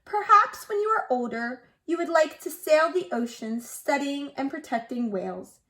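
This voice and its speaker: A woman's voice: sits high, lively intonation, normal speed, bright, forceful tone, heavily acted. A woman voicing a polite, firm male teacher who instructs a child in a picture-book read-aloud. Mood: consoling.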